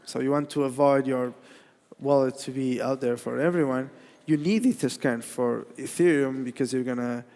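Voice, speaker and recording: A man with a Portuguese accent, a native Portuguese speaker, gives a technical conference talk in a halting way, with many restarts and fillers. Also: medium pitch, steady tone, warm tone. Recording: reverberant hall.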